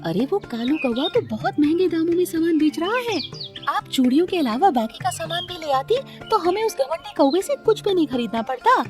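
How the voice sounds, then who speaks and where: A gossipy female bird shopper from a children's cartoon, put on by a woman. She is effusive and chummy, happy to complain. Her high voice bounces widely in pitch with music clearly playing behind. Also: sing-song, fairly quick. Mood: admiring, gossipy. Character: friendly, chatty, gossipy female bird customers. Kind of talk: children's cartoon story acting.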